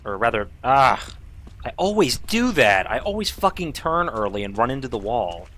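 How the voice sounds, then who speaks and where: A man's voice: medium pitch, very animated, normal speed, fairly bright tone. Chatty man streaming a speedrun. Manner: casual, talkative, swears when frustrated. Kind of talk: live gameplay commentary, chatting to viewers.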